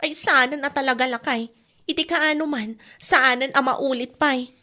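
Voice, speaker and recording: A woman's voice: high-pitched, fairly even intonation, moderate pace, bright, forceful tone. A woman playing a put-upon wife in debt, defensive and complaining, in a comedy radio drama. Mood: aggrieved.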